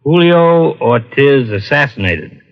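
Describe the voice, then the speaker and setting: A man's voice: mid-range voice, animated, slow, creaky. A man playing a sober, businesslike police lieutenant with plain delivery in an old-time radio drama. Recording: dull vintage radio recording.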